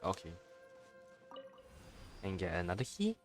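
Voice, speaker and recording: A man's voice: low voice, huge pitch swings, unhurried. A man giving casual live let's play commentary, muttering blunt, slangy reactions. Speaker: game streamer. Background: faint game music.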